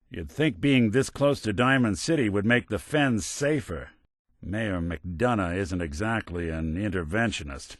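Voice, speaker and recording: World-weary robot private eye, video game. Fairly low pitch, close dry sound, wry hard-boiled delivery, loyal at heart. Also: male voice, no echo. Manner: wry, understated, old-fashioned hard-boiled phrasing. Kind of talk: video game character voice lines, film noir detective acting.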